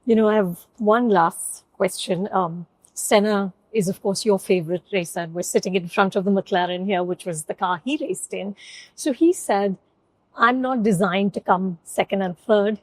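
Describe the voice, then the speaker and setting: A woman's voice: medium pitch, steady tone, moderate pace, darkish tone, no echo, low-quality recording. Woman interviewer with brief questions.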